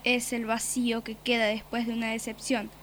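A woman playing a menacing, mocking beast in a radio drama, with a forceful, biting voice and a taunting, commanding manner. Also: a little high, monotone, normal speed, slight background noise, natural voice. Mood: grim, scornful. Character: menacing beast, servant of the Nothing, mocking predator.

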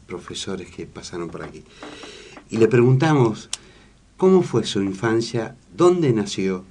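Low-voiced radio host. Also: male voice, darkish tone. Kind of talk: radio interview.

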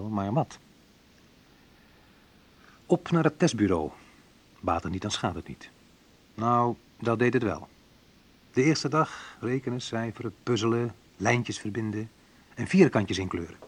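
A man's voice: on the low side, lively intonation, unhurried, pauses often, breathy edge. An actor playing a wry, reflective man who narrates memories of his youth in an intimate radio drama, confiding and a little hesitant. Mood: dry, wry. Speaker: narrator and main character of a radio play.

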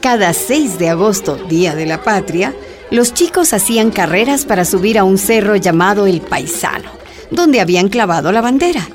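A woman's voice: medium pitch, animated, moderate pace, over music. A woman narrating a radio drama in a scripted storytelling style.

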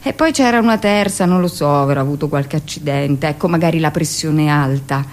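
A woman playing a middle-aged midwife in a theatrical radio monologue, telling her story in an emphatic, colloquial way, brisk and self-justifying. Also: low-pitched, natural voice. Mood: somber, recollecting.

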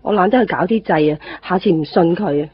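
A woman's voice: on the low side, fast talker, slightly rough. An actress playing a practical middle-aged mother in a radio drama, chatty and down-to-earth with a Hong Kong Cantonese accent. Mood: chatty grumbling. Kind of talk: casual radio drama dialogue. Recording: slightly muffled.

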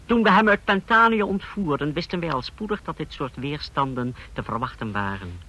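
An actor playing a deferential, scheming aide to a dictator in a Dutch radio drama, formal and precise. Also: male voice, a little high, animated, moderate pace, sharp tone, breathy edge, lo-fi sound, heavily acted. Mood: smooth, reassuring.

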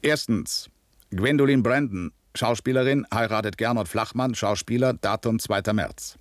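A man's voice: fairly low pitch, normal speed, no room sound, natural voice. An actor playing a dutiful male security policeman, giving precise, bureaucratic testimony in a radio drama. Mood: precise, official. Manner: precise, bureaucratic, matter-of-fact.

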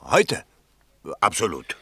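An actor playing an ambitious, touchy middle-aged bourgeois would-be politician in a 1960s German radio play, emphatic and self-important. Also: male voice, fairly low pitch, huge pitch swings, unhurried, gravelly, bright, forceful tone, slightly rough, dry recording, exaggerated voice. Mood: pompous.

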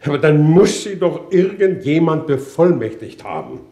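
An actor playing an exasperated, contemptuous war minister in a 1950s German radio play, with a blustering, sarcastic delivery. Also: male voice, on the high side, very animated, moderate pace, dark tone. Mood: challenging, sarcastic.

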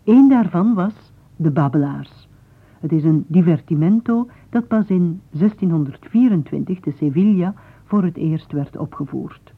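A woman's voice: fairly low pitch, animated, measured pace. A woman giving a formal, literary scripted introduction to a radio play. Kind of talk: scripted narration. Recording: muffled narrow-band 1980s radio recording.

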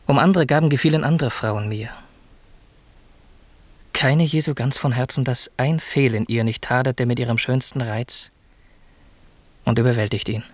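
Radio drama casting: young prince played by a man, adoring and servant-humble, ornate verse delivery, husky mid-range voice. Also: medium pitch, dry recording, lightly acted. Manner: lofty, ornate declamation.

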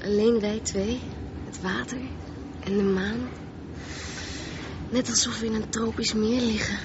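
A woman's voice: on the high side, level tone, measured pace. An actress playing a hesitant, affectionate teenage girl in a radio drama, often searching for words. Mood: dreamy, unsure. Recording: dull, noisy radio play recording.

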